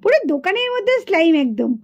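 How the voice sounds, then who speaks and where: An excited little schoolgirl doll. She chatters and exclaims in a children's puppet-show style, on a slightly dull recording. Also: very high-pitched, fast, exaggerated voice. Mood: excited, instructive.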